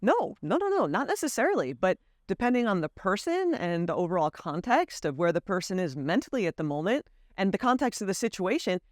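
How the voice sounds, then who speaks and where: An American woman speaks candidly and earnestly to the camera, reflecting on her life abroad. Her pitch moves freely and expressively, and the recording is close and dry with no room sound. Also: a little fast, hardly any pauses, no room sound. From United States. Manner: earnest, candid, lively emphasis. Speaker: vlogger. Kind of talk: reflective personal storytelling to camera.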